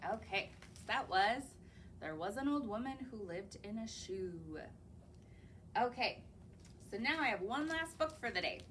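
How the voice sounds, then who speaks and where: A woman's voice: mid-range voice, expressive, measured pace, fairly bright tone, a little hiss or hum. A young woman, a children's librarian, reading picture books aloud in a library in a sing-song way and asking the children questions. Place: public library.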